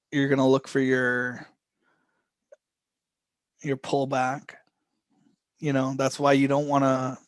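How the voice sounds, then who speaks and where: A man teaching a live trading session, his voice going hoarse as he talks, with loose, rambling phrasing, restarts and frequent throat clearing. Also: medium pitch, no room sound. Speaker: trading instructor.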